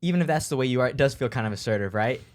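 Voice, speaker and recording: A young man with a medium pitch co-hosts a casual podcast chat. His voice rises and falls in a lively way as he thinks aloud and asks questions, with plenty of fillers along the way. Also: very fast, a little hiss or hum. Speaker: podcast co-host.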